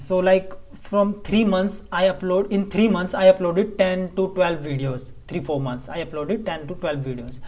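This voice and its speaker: Halting Indian-accented man vlogging. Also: a little high, darkish tone. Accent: Indian English. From Delhi, India. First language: Hindi. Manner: halting, often stumbles and restarts phrases. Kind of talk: casual talk to camera.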